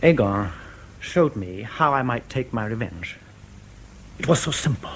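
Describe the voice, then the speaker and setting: A man's voice: mid-range voice, animated, fairly bright tone, a little hiss or hum, rough recording. An actor in a radio drama playing an anxious, proud East German official in his thirties who has defected, speaking formally and carefully. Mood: earnest, grave. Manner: formal, careful, precise diction with hesitant pauses.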